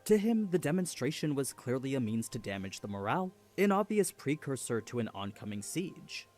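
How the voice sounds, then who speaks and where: A man's voice: mid-range voice, very animated, brisk, a little mellow, faint music behind. A man reading a scripted story recap as a narrator.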